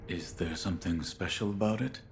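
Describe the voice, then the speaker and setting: A dutiful shinobi in a dramatic video game. His voice is low and his words are few and restrained, grave in tone. There is slight background noise. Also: a little fast. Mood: flat, inquiring. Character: stoic, dutiful shinobi warrior serving his lord.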